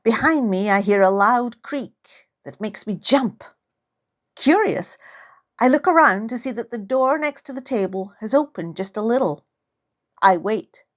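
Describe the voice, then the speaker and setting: A woman's voice: on the high side, animated, measured pace. A woman narrating a first-person story as its author, in a dramatic, suspenseful style. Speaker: author reading own novel.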